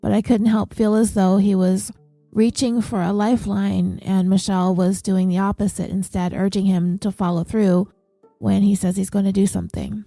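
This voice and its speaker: A woman hosting a true crime podcast, reading her script with a slightly nasal, congested voice in a steady, even delivery. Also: medium pitch, moderate pace, vocal fry, no echo, soft background music. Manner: reads ads and listener names in an even, steady delivery.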